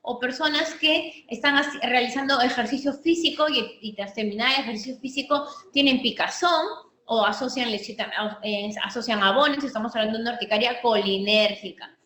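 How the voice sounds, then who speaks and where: A woman's voice: on the high side, a little fast, a bit bright. A woman physician with a Peruvian Spanish accent giving a medical teaching talk, explaining step by step with frequent restarts and fillers. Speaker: physician lecturer. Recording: echoey room with faint noise.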